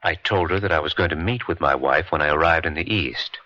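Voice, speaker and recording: An actor playing a reluctant war correspondent on the witness stand in an old radio drama, answering tersely and formally. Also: male voice, a bass voice, fairly quick, bright, forceful tone, exaggerated voice. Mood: reluctant, restrained. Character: reluctant male war correspondent testifying about his affair. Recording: dull lo-fi vintage radio.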